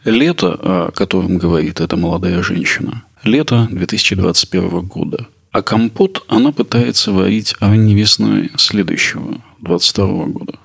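A man presenting a culture podcast in a narrating style, with a gravelly, husky voice. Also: sits low, moderate pace. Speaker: podcast host.

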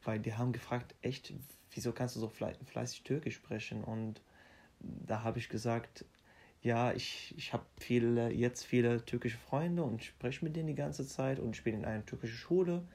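A young man, talking in an even, middle-pitched voice. He speaks haltingly and often restarts his sentences. His phrasing stays plain and simple. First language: Arabic. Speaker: interviewee. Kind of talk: casual interview.

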